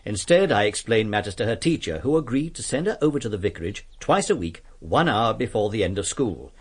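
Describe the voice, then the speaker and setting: An actor playing an earnest, kindly English vicar in a radio drama, speaking politely and precisely with some hesitation. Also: male voice, on the low side, expressive, a little fast, slightly rough. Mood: earnest, pleading.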